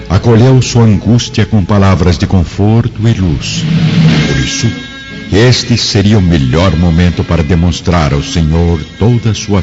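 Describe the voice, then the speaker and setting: A man with a Brazilian accent giving dramatic scripted narration for a radio drama. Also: sits low. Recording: dull lo-fi audio with music.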